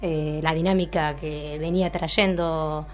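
A woman's voice: deep voice, fairly even intonation, unhurried. An Argentine woman co-hosting a radio show, commenting casually and hesitantly as she searches for words. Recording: music bed under the voice. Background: music.

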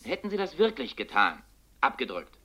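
An eager newspaper reporter in a radio crime drama. He coaxes and probes with a bright, forceful voice. His pitch moves up and down in a lively, animated way. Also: normal speed, slightly rough, heavily acted. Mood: coaxing, eager. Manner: probing, coaxing, hesitant starts.

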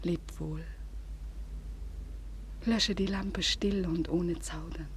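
An actress playing a despairing young woman in a 1940s German radio drama, with slow, breathy, solemn declamation on a noisy old recording. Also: lowish voice, steady tone. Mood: hushed farewell. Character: despairing young woman bidding farewell before suicide.

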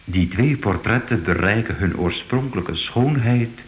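An actor playing a sober, thoughtful art investigator in a radio drama, speaking in a measured, pondering way. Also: male voice, lowish voice, animated. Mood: intrigued. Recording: echoey, faintly noisy old radio play.

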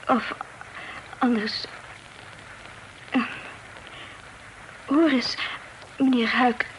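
An actress playing a well-bred young Dutch lady in a radio play, formal, coy and gently reproving. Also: a little high, fairly even intonation, extremely slow. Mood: prim, coy. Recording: noisy old radio play recording.

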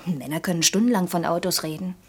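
Cheeky young woman, husky voice. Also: lowish voice, fast. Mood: provoking. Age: young adult. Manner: pert, teasing, questioning. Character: cheeky, direct, provoking young female employee. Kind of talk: naturalistic German radio play dialogue.

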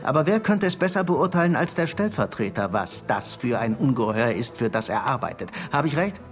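Actor as animated, confiding Soviet police chief. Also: male voice, mid-range voice, a little fast, faint music behind, natural voice. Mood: urgent, persuasive. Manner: smooth, persuasive, confiding. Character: Soviet secret police chief, genial, confiding, quietly menacing. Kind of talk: radio drama acting.

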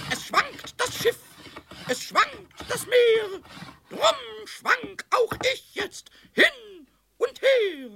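A man playing a cheeky, childish little kobold with a squeaky, gravelly voice in a children's radio play. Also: bright, forceful tone, a little hiss or hum, exaggerated voice.

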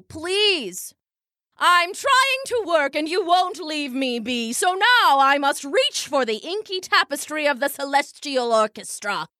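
A woman voicing a pompous, theatrical female fortune-teller who intones grandly in a loud stage bellow. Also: sits high, no echo, studio quality, pitched above the performer's own voice, full character voice. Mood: grandiose.

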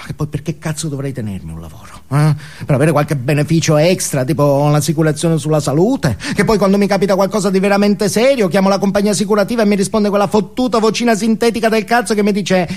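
An actor playing a bitter, foul-mouthed male drug addict, ranting crudely in a theatrical comic monologue. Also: very high-pitched. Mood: sullen, bitter, then furious, mocking. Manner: ranting, crude, swinging from mutter to shriek. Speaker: stage actor performing monologues.

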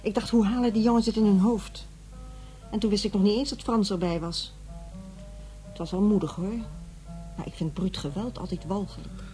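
A woman's voice: mid-range voice, fairly even intonation, normal speed, pauses often, mellow, soft background music, lightly acted. An actress playing a weary divorced mother in middle age in a radio play, matter-of-fact and reserved. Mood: troubled, reflective. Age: middle-aged. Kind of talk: radio play acting, naturalistic dialogue.

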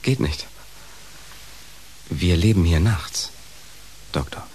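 A man's voice: sits low, lively intonation, takes it slowly, a little mellow, slight background noise, rough recording. An actor playing a young, devoted but resigned companion in a radio drama, wry and wistful in his delivery. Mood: resigned devotion. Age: young adult.

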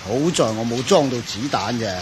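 A man acting a crafty older professor in a radio drama, speaking with a Hong Kong Cantonese accent. His pitch swings widely as he sighs and condescends with false geniality. Clear rain noise sits behind the voice. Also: expressive, brisk, natural voice. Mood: weary, dismissive.

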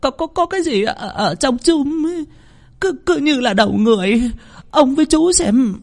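A man voicing a terrified servant woman who stammers and shrieks in a high voice. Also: lively intonation, moderate pace, vocal fry, full character voice. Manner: shrill stammering. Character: hysterical peasant maid woman, stammering.

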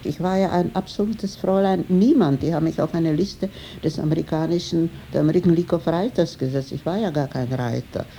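Low, creaky older woman, very dark tone. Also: fairly quick, slight background noise. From Prague, Czech lands. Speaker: interviewed eyewitness recalling the past. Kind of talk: personal reminiscence in interview.

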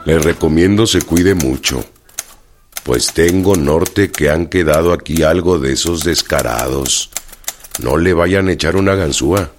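Un actor que interpreta en una radionovela a un orgulloso y receloso general revolucionario mexicano preso, con acento mexicano y voz velada. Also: male voice, rumbling low, measured pace, music in the background. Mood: wary. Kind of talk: radio drama acting.

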